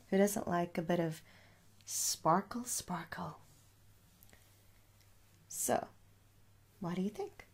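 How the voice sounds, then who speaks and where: A woman in her thirties doing a soft-spoken ASMR shop roleplay, gently reassuring and repeating words for effect. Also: mid-range voice. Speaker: ASMR performer playing a shop assistant. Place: small room indoors.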